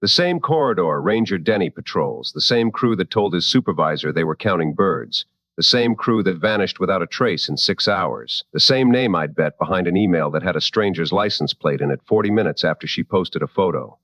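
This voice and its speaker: An actor playing a seasoned, protective late-night radio host in a drama, with a firm, wry and deliberate delivery. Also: male voice, sits low, lively intonation, fairly bright tone, no echo, muffled recording. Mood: grave, deliberate.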